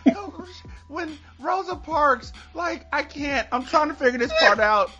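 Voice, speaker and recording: A man speaks at an unhurried pace with a very lively melody, tossing in joking commentary. It is casual podcast banter on a slightly muffled recording. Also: extremely high pitch, music in the background. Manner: playful commentary with repeated words for effect.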